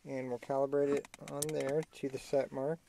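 A man talking through a hands-on tutorial in a casual, halting way, thinking aloud as he works. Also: medium pitch, flat delivery, dark tone. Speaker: amateur how-to demonstrator. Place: inside a parked vehicle. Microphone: handheld camera mic. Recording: clearly noisy amateur camera audio, clicks.

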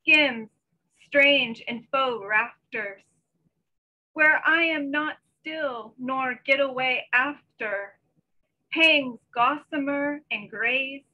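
Female poet, middle-aged, high forceful voice, unhurried delivery, slightly dull video-call sound. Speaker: poet reading own work. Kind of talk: poetry reading with informal introductions. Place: small bedroom at home. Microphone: computer mic on a video call.

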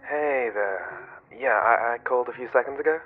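A man playing an obsessed serial killer in a horror ASMR roleplay, coaxing and menacing in a soft, often whispered voice with false friendliness. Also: medium pitch, moderate pace, narrow, tinny audio, a little hiss or hum. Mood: coaxing, falsely friendly. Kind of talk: ASMR horror roleplay, 90s slasher pastiche.

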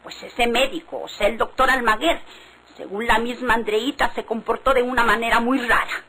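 A stubborn, suspicious Mexican village woman in an old radio drama. Her voice is fairly high and insistent, on a recording with faint background noise. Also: steady tone, moderate pace, pushed and bright. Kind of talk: vintage Mexican radionovela acting.

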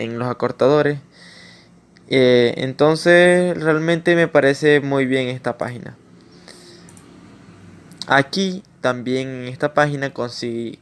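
A Latin American man narrating a screen-recorded tutorial in an informal way, pausing with fillers and restarting his sentences. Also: mid-range voice, clean tone. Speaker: YouTuber explaining online earnings.

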